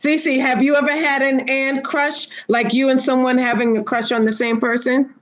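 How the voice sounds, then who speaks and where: A woman's voice: sits high, fairly even intonation, moderate pace, muffled recording. A woman hosting a casual panel chat, prodding her guests in a teasing way and repeating her phrases. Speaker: host of a panel discussion.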